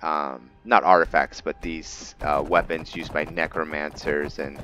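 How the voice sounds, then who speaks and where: Slow, low-voiced man narrating a roleplay game. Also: flat delivery, sharp tone, faint music behind. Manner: halting, deliberate, with long pauses. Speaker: gamer hosting a roleplay playthrough. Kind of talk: unscripted roleplay game commentary.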